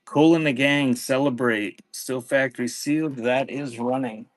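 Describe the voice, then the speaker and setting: A man's voice: mid-range voice, unhurried, no echo. Man calling a casual live online auction. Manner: clipped announcements mixed with casual asides to viewers. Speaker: live auction seller and host. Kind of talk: live-stream sales auction, calling out items in short phrases.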